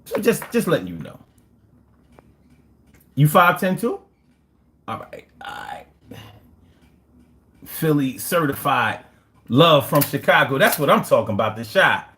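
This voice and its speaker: Man, young, Philadelphia accent, livestream host, casual chat, brash, profane, animated pitch. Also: unhurried, pauses often. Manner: brash, profane street slang, boastful.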